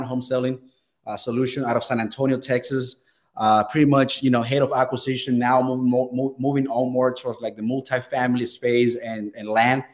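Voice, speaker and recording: Eager Venezuelan-accented man, stumbling restarts. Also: medium pitch. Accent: Venezuelan Spanish. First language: Spanish. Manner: eager, quick restarts and repeated words. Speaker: guest, real estate sales professional. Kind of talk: casual podcast interview.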